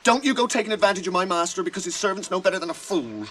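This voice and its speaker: An actor in a radio drama playing a loyal, plain-spoken manservant, humble and protective, with homely, deferential phrasing. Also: male voice, high voice, lively intonation, racing, a bit bright. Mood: flustered, defiant. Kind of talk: 1980s radio drama acting. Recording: radio drama with clear background noise.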